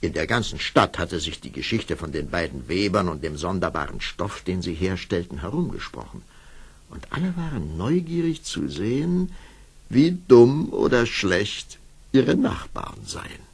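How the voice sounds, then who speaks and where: A storyteller in a fairy-tale radio play, expressive and richly varied. His voice sits low, with a gravelly creak. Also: measured pace, full character voice. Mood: storytelling. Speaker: narrator.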